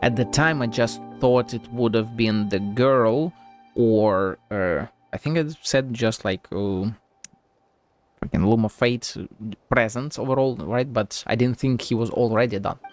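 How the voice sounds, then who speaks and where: A man's voice: medium pitch, measured pace. A man streaming a game with casual live commentary, musing and rambling as he goes, swearing casually. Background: game music.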